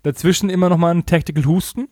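A man's voice: high voice, steady tone, fast talker, darkish tone, dry recording, clean studio sound. A man co-hosting a casual comedic recap podcast, slangy and mockingly acting out quoted dialogue. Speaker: podcast co-host.

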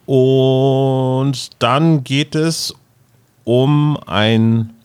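A man's voice: medium pitch, little pitch movement, drawn-out words, darkish tone, clear, resonant tone. A man co-hosting a podcast, chatting casually in an informal, jokey way. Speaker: podcast co-host. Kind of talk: casual chat.

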